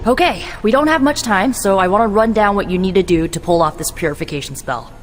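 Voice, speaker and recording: An actress playing a flippant, bossy young sorceress in a video game cutscene, talking in a slangy, animated way. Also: female voice, medium pitch, fast talker, close to an everyday voice. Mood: brisk, sarcastic. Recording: music behind voice.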